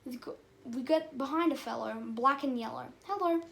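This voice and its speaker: A gung-ho male bee squad leader with a high voice, played by a woman reader. He rallies in a chanting, commanding way, like a character in a storybook adventure. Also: moderate pace, vocal fry, fairly bright tone. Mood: rallying.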